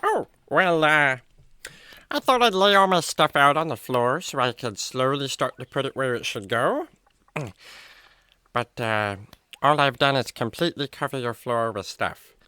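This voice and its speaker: A man playing a naive, chatty, polite animal friend in a children's radio drama, with a halting, wordy way of talking. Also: medium pitch, expressive, bright, forceful tone, smooth, no room sound. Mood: flustered. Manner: hesitant, wordy, comically halting.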